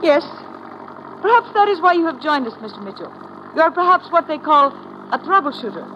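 An actress playing a young Turkish engineering student in an old radio drama, poised and knowing, with formal, deliberate phrasing. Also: female voice, sits high, sing-song, a bit bright. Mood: knowing, confiding. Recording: muffled, hissy old radio recording.

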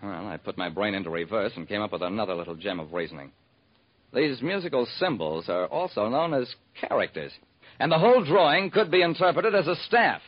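A man's voice: lowish voice, very animated, fairly quick. An actor playing a wry, hard-boiled private detective, narrating his case in clipped old-time radio style. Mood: probing. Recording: muffled vintage radio recording.

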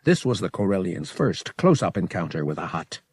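A man's voice: low-pitched, sing-song, moderate pace, a little mellow, husky, no echo. A man narrating a fiction audiobook as a scripted, dramatic story reading.

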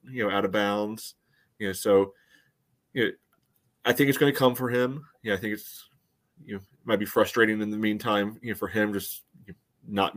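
Male sports podcast panelist, lowish voice, casual analysis, measured and hedging delivery.

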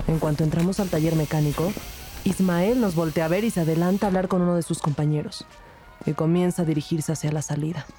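Determined female detective played by an actress, lowish register, first-person crime podcast narration over prominent music. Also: fairly even intonation, fast talker, mellow, close to an everyday voice. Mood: tense narrating. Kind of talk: scripted first-person audio drama narration. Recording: music bed behind the voice.

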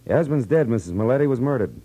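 A man's voice: medium pitch, animated, rapid-fire, warm tone, lightly acted, poor audio. An American actor playing a wry, flirtatious, tough-talking male private detective in a 1940s radio drama. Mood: sardonic, needling. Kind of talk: 1940s radio acting with first-person narration. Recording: old radio recording with music.

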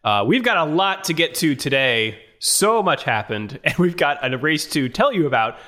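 A man's voice: fairly high pitch, big pitch swings, normal speed, sharp tone. A man hosting a motorsport podcast, presenting in an upbeat, casual way as he moves through names and commentary.

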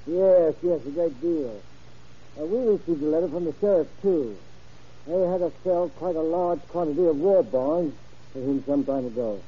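An actor playing an eager, precise bank clerk in a vintage radio crime drama, fussy about details. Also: male voice, a little high, normal speed, breathy edge. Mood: informative, eager. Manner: repeats himself, precise. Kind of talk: 1940s-50s radio crime drama acting. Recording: dull vintage radio recording.

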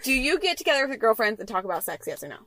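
A woman in her thirties with a deep, raspy voice, co-hosting a casual podcast from a parked minivan, giggly and joking. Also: very animated, a bit bright, no echo. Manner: giggly, joking, quick asides. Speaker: podcast co-host. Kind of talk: casual chat.

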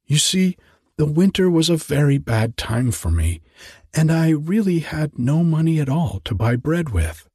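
Brief: timid poor young gardener, raised and soft, husky man voicing him. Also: very animated, unhurried, no room sound, clean studio sound, heavily acted. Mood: meek. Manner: soft, meek, higher voice. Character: humble poor young gardener, meek and eager.

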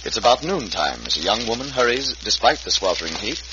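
A man's voice: on the low side, very animated, quick pace, background noise. A man announcing and narrating in a dramatic, declamatory old-time radio style. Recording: muffled vintage radio recording, loud music behind.

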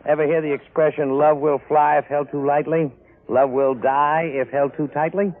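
An actor playing a weary, put-upon American husband in 1950s radio style, grumbling wryly. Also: male voice, a little high, flat delivery, normal speed, close to an everyday voice. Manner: grumbling, wry. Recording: narrow-band vintage radio recording.

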